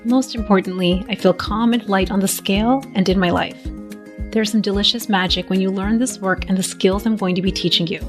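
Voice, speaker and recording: A woman in her thirties hosting a solo podcast, her voice a little raspy from a cold, speaking in a gentle, encouraging coaching manner. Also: medium pitch, steady tone, a little fast, over music. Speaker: podcast host.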